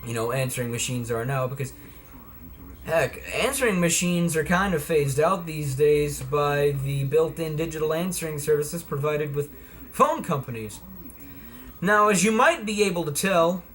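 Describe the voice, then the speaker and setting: A man's voice: on the high side, expressive, moderate pace, fairly bright tone, slight background noise. A man giving a casual, folksy and playful product demonstration in a homemade video. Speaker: vlogger presenting vintage electronics.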